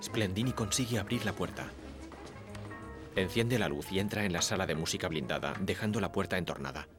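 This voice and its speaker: A man reading audio description narration in a calm, matter-of-fact way. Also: sits low, fairly quick, over music. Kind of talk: scripted narration.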